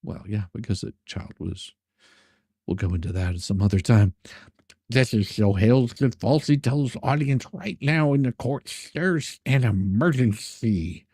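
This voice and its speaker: A man's voice: medium pitch, moderate pace, dark tone, husky, dry recording, clean studio sound. A man hosting an informal livestream, chatting and reading letters aloud with a sarcastic edge. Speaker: streamer, host of his own channel. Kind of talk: casual livestream chat and dramatic reading of letters.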